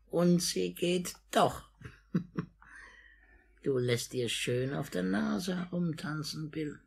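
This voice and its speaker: An actor playing a sly, wheedling old criminal fence in a 1950s German radio play, with a husky, insinuating delivery and a habit of repeating himself. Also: male voice, on the high side, darkish tone, exaggerated voice. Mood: mocking.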